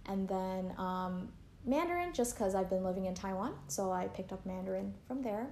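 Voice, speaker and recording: A woman's voice: medium pitch, lively intonation, normal speed, gravelly, darkish tone, a little hiss or hum. Chatty young woman in an echoey room. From Canada. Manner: chatty, many fillers and likes. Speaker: interviewee. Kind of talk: casual interview chat.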